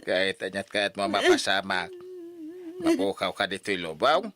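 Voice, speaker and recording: A man's voice: low-pitched, wildly swooping pitch, normal speed, a bit bright, heavily acted. An actor playing a stern, concerned older father in an old radio drama, admonishing emphatically. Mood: urgent, scolding. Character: stern, concerned elderly father advising his daughter.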